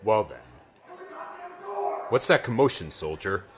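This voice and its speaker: A man playing a commanding, weary but fair army general in an audio drama, measured and authoritative. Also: on the low side, big pitch swings. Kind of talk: scripted audio drama acting.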